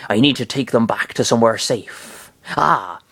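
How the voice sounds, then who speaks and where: A man voicing a kindly male pirate in a slow, gruff, partly whispered voice. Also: mid-range voice, fairly bright tone, dry recording. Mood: concerned.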